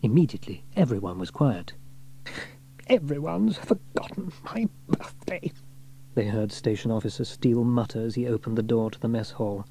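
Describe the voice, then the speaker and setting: A man narrating a scripted children's story as an audiobook reader, with expressive storytelling delivery. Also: on the low side, quick pace, few pauses, slightly rough.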